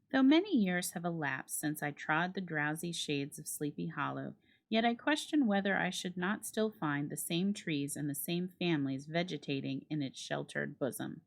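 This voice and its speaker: Low-voiced woman reading a classic tale. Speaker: narrator reading a classic short story aloud. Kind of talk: dramatic literary reading, scripted narration.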